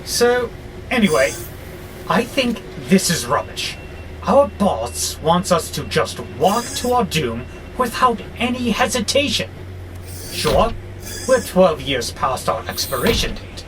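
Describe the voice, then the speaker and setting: High, swooping, irritable rebel robot played by a man. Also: slow, exaggerated voice. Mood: scheming, indignant. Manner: exasperated, sardonic, theatrical grumbling. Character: irritable, rebellious male freight robot plotting revolution. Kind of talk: audio drama acting. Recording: clear steady background noise, vehicle-like hum.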